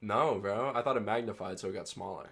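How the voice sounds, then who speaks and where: A man's voice: on the low side, fast, creaky, fairly bright tone. A young man in his twenties co-hosting a podcast, laid-back and slangy, often saying "bro". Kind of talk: casual chat.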